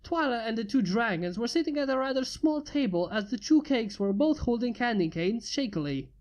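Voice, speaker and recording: A woman narrating fanfiction in a plain, steady amateur reading. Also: fairly high pitch, a little fast, lo-fi sound. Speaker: fanfiction reader.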